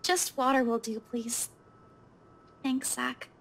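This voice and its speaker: A woman playing a shaken, withdrawn young woman in a visual novel game, speaking hesitantly in a breathy, subdued way. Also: high-pitched, flat delivery, slow pace, full character voice.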